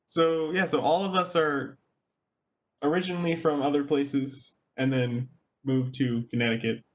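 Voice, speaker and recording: A young American man co-hosting a podcast, talking in a relaxed, hesitant way with many fillers. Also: medium pitch, a little slow. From United States (born Virginia). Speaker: podcast co-host. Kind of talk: casual podcast chat.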